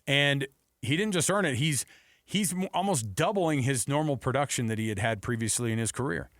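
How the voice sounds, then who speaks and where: Sports radio host: American man, animated and casual, very varied intonation, dry studio mic. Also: medium pitch, fairly quick, dry recording. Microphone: close studio broadcast microphone.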